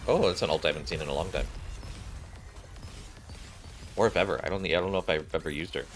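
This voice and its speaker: A man's voice: deep voice, racing, soft background music. A man streaming a video game and reading its story aloud, stumbling now and then and correcting himself. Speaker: streamer narrating game cutscenes.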